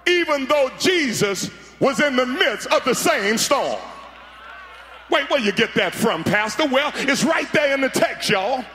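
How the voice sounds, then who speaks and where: A man's voice: very high voice, pushed and bright. A middle-aged man, preaching on a large arena stage into a handheld mic, fiery and full of repeated words and calls to the crowd. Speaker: pastor. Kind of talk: sermon. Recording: echoey arena sound.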